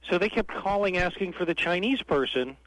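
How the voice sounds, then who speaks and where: A man's voice: sits high, expressive, brisk, a bit bright, no room sound. A man calling in to a radio show, telling wry stories in a chatty way over a phone line. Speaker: caller. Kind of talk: phone-in storytelling. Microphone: telephone.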